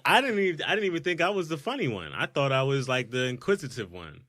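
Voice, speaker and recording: Lively New podcast host. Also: male voice, medium pitch, dry recording, studio quality. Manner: animated, joking, quick to laugh. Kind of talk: casual podcast chat.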